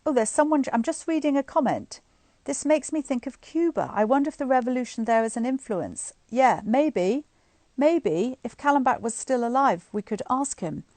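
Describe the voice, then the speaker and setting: A middle-aged woman reading a book aloud to online listeners in an expressive, informal, chatty way. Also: sits high, moderate pace, no echo. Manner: informal, chatty asides between expressive read passages. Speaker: guest reader of a bedtime story series. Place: indoors at home, on a live online stream.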